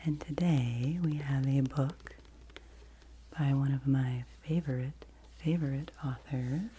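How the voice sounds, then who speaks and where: A woman in her forties, whispering gently and unhurriedly as she hosts a soft-spoken ASMR book review. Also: extremely low pitch, slow, slight background noise. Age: 40s. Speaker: ASMR host.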